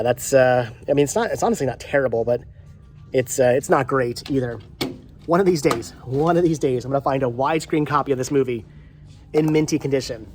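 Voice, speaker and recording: A middle-aged man with a congested voice chats casually to viewers as a vlog host, rambling and repeating his phrases. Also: mid-range voice. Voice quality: congested with a head cold.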